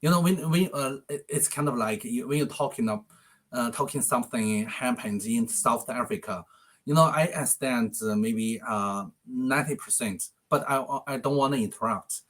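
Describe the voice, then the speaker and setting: A man whose first language is Chinese speaks halting English over a video call, often pausing to search for words. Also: medium pitch, fairly bright tone. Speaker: English learner. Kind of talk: casual video-call conversation.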